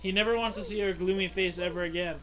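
A man's voice: high-pitched, fairly even intonation, fast, fairly bright tone, low-quality recording. A man giving chatty, joking commentary on a video game in a casual style. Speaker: gaming co-commentator. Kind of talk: casual let's play commentary. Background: video game music.

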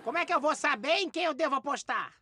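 High, excitable cartoon bread slice. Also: fast talker. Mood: worried. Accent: Brazilian. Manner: dramatic, emphatic. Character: excitable, gullible young male slice of bread. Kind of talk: animated cartoon dialogue.

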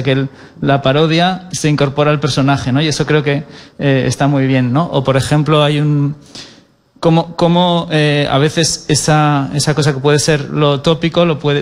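A man in his thirties, a writer speaking on a stage panel through a handheld mic, hesitant with many fillers and tag questions. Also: fairly high pitch. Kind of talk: panel discussion. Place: stage of a cultural centre auditorium.

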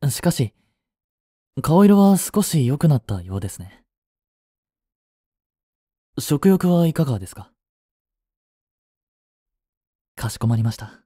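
A man's voice: medium pitch, mellow, no echo, studio quality. A man acting a cool, devoted young male butler in a romantic audio drama, formal in manner, jealous and possessive. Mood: gentle, attentive. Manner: formal, polite to his mistress, curt to rivals. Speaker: voice actor.

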